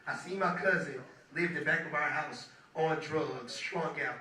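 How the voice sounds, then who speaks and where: Hoarse young man talking to a crowd. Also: on the high side, normal speed, sharp tone. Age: 20s. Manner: loose and chatty, keeps asking the crowd "you feel me". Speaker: guest speaker, music producer. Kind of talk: casual motivational talk to an audience. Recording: echoey hall, mic at a distance.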